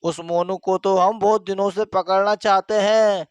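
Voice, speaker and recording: A man's voice: high voice, steady tone, measured pace, smooth, no room sound, studio quality. A man playing a sympathetic, resolute village man in a cartoon story, earnest and consoling.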